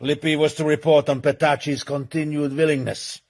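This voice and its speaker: A man acting in a radio drama as the coldly authoritative chairman of a criminal syndicate, formal, measured and commanding. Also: fairly high pitch, level tone, lightly acted.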